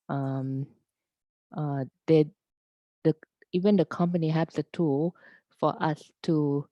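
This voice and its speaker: A woman speaks slowly and earnestly, hunting for words as she presents her plans. Her voice sits low and is recorded dry and close, with the dull, lo-fi sound of a video call. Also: dark tone, no echo. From Thailand. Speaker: meeting presenter.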